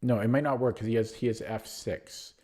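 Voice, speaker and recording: A man's voice: medium pitch, fairly even intonation, fairly quick, a little mellow. A man streaming online chess, thinking aloud through his moves with a hesitant, self-deprecating manner and frequent fillers. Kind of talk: live game commentary.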